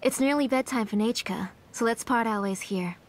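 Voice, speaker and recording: A woman playing a polite, firm and protective mother in a video game, speaking in a measured way. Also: a little high, slight background noise, natural voice. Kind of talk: video game character acting.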